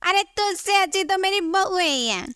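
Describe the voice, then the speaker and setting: A woman voicing a scolding, exasperated mother-in-law in an animated story, with a nagging, theatrical delivery. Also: extremely high pitch, moderate pace, no room sound, clean studio sound, exaggerated voice. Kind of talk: Hindi animated moral story voice acting.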